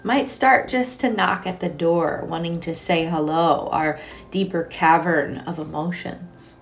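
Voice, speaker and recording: Low, creaky-voiced woman guiding meditation. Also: a little hiss or hum. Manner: gentle, soothing, unhurried with long pauses. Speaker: meditation and yoga teacher. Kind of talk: guided meditation. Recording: soft music behind the voice. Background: music.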